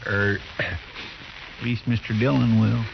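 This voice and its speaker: An actor playing a naive, good-natured deputy in a radio western, with an earnest rustic drawl. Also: male voice, lowish voice, fairly even intonation, slow pace, vocal fry, heavily acted. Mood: cheerful. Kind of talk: 1950s radio western acting. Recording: hissy, muffled old radio recording.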